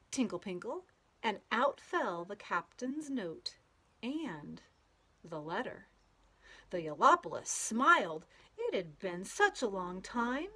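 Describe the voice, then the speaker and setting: A woman reads a children's storybook aloud with a very lively, swooping delivery. She takes her time, stretching words out expressively for young listeners. Her medium-pitched voice gives playful weight to the silly words. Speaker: storybook read-aloud reader. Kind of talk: animated children's picture-book read-aloud.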